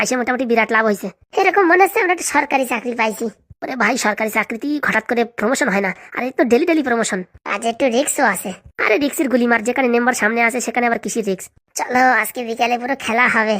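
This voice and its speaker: Woman voicing a high-pitched, rapid-fire village henchman. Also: fairly bright tone, lightly acted. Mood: excited, chatty. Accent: rural Bengali. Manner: obsequious, boastful, chattering. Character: eager, fawning young male village henchman. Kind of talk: comedy cartoon dialogue.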